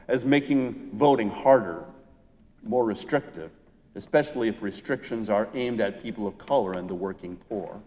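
An American man preaching a sermon as a pastor, in a deliberate, emphatic way with pauses between phrases. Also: mid-range voice, a little mellow. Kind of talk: Easter sermon, measured scripted preaching. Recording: muffled, long church-like reverb.

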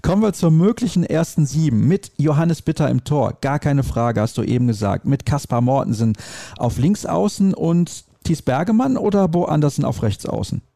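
A man's voice: a little high, animated, moderate pace, gravelly, warm tone, no room sound, clean studio sound. A man hosting a conversational sports discussion, stating his opinions and readily correcting himself. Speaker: sports talk host.

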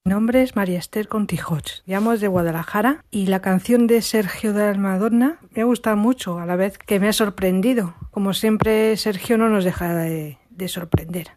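A woman's voice: medium pitch, normal speed, hardly any pauses, darkish tone, no room sound, studio quality. A woman leaving a short voice message as a fan.

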